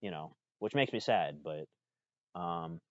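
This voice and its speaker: A man's voice: low voice, expressive, slow pace, no echo. A man in his mid-twenties co-hosting a podcast, talking in a laid-back way, saying "dude" a lot and laughing easily. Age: 20s. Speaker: podcast co-host. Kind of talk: casual chat. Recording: dull lo-fi podcast audio, dry.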